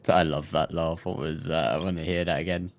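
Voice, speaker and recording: A man's voice: extremely low pitch, steady tone, a little fast, soft background music. A man livestreaming a video game, reacting casually and reading dialogue aloud.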